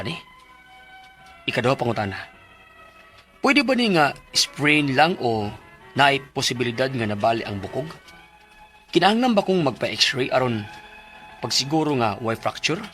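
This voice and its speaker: A stubborn, worried Filipino husband in a Cebuano radio drama. His bright voice swings widely in pitch and is colloquial and expressive. Music runs along with the scene. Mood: earnest. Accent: Filipino, Cebuano-speaking. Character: injured working husband, stubborn, worried about money. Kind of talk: Philippine radio drama acting and narration.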